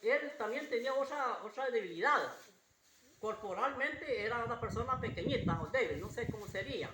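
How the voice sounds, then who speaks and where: A preacher with a slightly husky voice gives an informal Bible sermon in a halting, repetitive, homespun way. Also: medium pitch. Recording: roomy echo with faint background noise.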